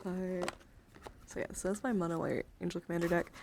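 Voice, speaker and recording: Chatty woman streamer with gravelly fry. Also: lowish voice, fairly even intonation, a little fast, noisy background. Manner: chatty, rambling, swears casually. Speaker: livestream host. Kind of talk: casual livestream chat showing a card collection. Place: indoors, livestream with handheld camera.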